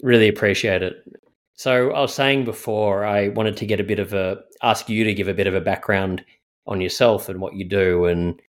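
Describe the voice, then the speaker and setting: A man hosts an interview podcast with a very deep voice. He reads out a guest introduction and then asks his questions in a loose, hesitant way, circling around before landing on the point. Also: steady tone, normal speed. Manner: rambling, hesitant when asking questions. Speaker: podcast host.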